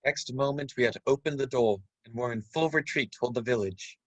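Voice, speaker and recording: A man's voice: mid-range voice, a little fast, fairly bright tone, dry recording, close to an everyday voice. Man acting grave storyteller, mid-range voice, dry close sound, dramatic scripted narration. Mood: tense. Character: grown man recounting his boyhood adventure, grave storyteller.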